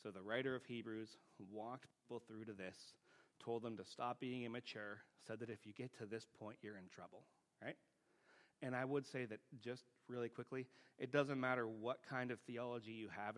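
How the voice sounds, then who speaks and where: A man's voice: medium pitch, fairly even intonation, moderate pace, a bit bright. A man preaching a teaching sermon in a plain-spoken, conversational way, often checking in with rhetorical questions.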